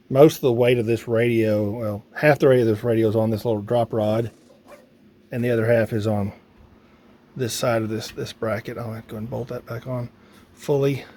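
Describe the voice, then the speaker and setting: A man's voice: mid-range voice, darkish tone. A man giving a casual hands-on how-to, thinking aloud informally as he works. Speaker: DIY radio hobbyist presenter. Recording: clear background noise, ambient sound.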